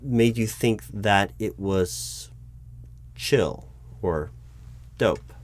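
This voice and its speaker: A man's voice: on the low side, very slow. A man playing a recruiting manager in an ASMR job interview roleplay, patient and a bit exasperated, with many ums and restarts. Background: keyboard typing.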